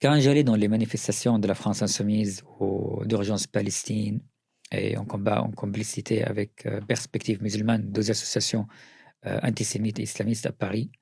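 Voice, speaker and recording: A middle-aged man from Syria with a Syrian accent, answering interview questions earnestly, often pausing and restarting. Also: fairly low pitch, flat delivery, gravelly, dark tone, husky, no room sound, studio quality. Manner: earnest, with frequent hesitations and restarts. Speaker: writer and journalist, interview guest.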